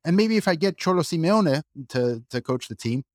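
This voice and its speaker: Male co-host, argumentative and lively, dry close-miked sound. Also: fairly high pitch, no room sound. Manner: animated, argumentative, quick asides with restarts. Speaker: podcast co-host. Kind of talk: casual opinionated discussion.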